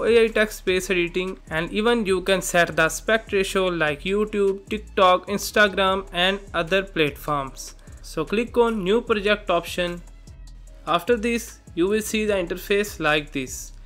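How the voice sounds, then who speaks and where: A man presenting a software tutorial, narrating step by step in simple instructional phrases. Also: sits high. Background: background music.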